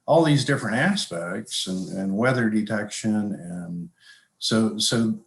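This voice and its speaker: Echoey low-voiced older man explaining. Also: measured pace, gravelly. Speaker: guest, avionics industry professional and pilot. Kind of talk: conversational explaining in an interview. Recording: echoey, roomy call audio.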